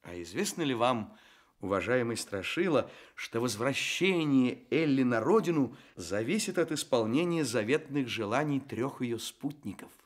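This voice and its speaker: An actor playing a courteous, playful storyteller with theatrical, drawn-out emphasis in a children's radio play. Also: male voice, mid-range voice, lively intonation, lightly acted. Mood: polite, curious. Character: courteous, playful male storyteller addressing fairy-tale characters. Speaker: storyteller and host.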